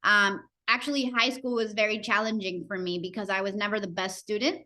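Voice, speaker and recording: A woman's voice: medium pitch, steady tone, fairly quick, bright, forceful tone. A woman in her thirties from Costa Rica and Nicaragua, a podcast guest telling her story with frequent fillers. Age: 30s. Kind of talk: interview conversation.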